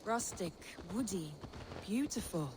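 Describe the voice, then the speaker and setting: An actress playing a spirited, restless Viking woman in a video game, wry and expressive. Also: medium pitch, unhurried, noisy background, lightly acted. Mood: wistful wonder. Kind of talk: video game dramatic acting.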